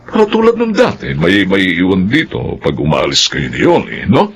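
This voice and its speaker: An actor playing a gentle, wise older father in a radio drama, with a gravelly voice. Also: low-pitched, wildly swooping pitch, moderate pace, a little hiss or hum, exaggerated voice.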